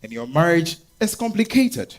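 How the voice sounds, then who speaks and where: A man with a Ghanaian accent playing a smitten, pleading lover with halting, earnest phrasing in a stage reading. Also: on the high side, very animated, a little hiss or hum. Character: smitten, pleading male lover and deal-maker. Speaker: stage actor. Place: live staged reading at a festival.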